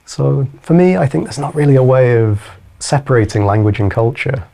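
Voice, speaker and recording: Man panelist explaining things thoughtfully, low gravelly voice, warm dark tone. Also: lively intonation, brisk. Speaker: language expert panelist. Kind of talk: answering an audience question.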